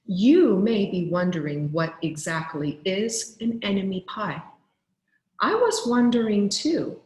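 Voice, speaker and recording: A woman teacher reading aloud to children in an expressive storybook style, with deliberate, clearly phrased delivery. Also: medium pitch, reverberant.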